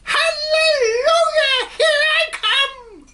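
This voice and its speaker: A woman's voice: very slow, full character voice. Screechy, whooping old grandmother. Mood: wild glee. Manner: whooping, shouting high and gleeful. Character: screechy wild old grandmother, gleeful and greedy.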